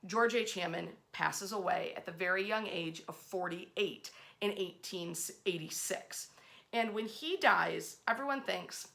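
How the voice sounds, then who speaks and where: A woman's voice: lowish voice, measured pace, creaky. An American woman hosting a history storytelling series, speaking in a casual, conversational and emphatic way. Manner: animated and emphatic, acts out short quotes.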